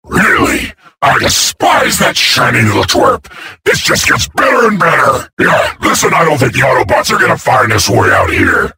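A thuggish robot henchman from a video game. He is cocky and sarcastic, with a heavily gravelled voice that jumps around in pitch, over loud noise. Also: huge pitch swings, normal speed, heavily acted. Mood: casual. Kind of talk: video game character voice acting.

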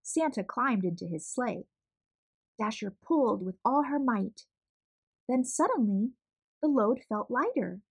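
High-voiced woman reading a storybook. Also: animated, measured pace, gravelly, pauses often, husky. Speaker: children's read-aloud host. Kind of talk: expressive storybook narration.

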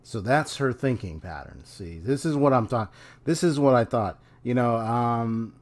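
A man's voice: medium pitch, normal speed. A young man casually reacting to a game trailer at home, speaking in a rambling, hesitant way into a desk mic. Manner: rambling, hesitant, many restarts, relaxed. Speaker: video game reaction youtuber. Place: small room at home, indoors. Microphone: desk microphone on a stand.

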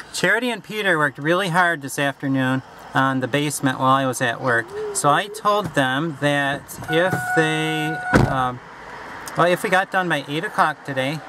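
A man in his forties talks casually as a vlogging dad, in an ordinary mid-range voice. He is recorded on a handheld camera, with clear background noise. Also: mid-range voice, pushed and bright. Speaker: vlogger, father. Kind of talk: casual family vlog chat. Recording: noisy handheld camera audio.

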